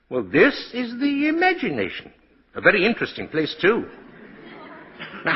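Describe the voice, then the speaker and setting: A man's voice: a little high, husky, heavily acted. An actor playing a kindly, whimsical old man who claims to be Santa Claus, in 1940s radio style. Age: older. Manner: chuckling, gentle, whimsical. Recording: muffled vintage 1940s radio recording.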